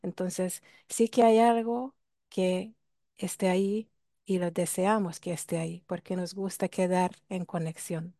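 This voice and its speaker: A woman's voice: on the low side, fairly even intonation, measured pace, warm tone, no echo, studio quality. A woman with a Hungarian accent, explaining ideas in a reflective way and posing rhetorical questions to listeners. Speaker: workshop speaker. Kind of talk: talk on ancestral healing.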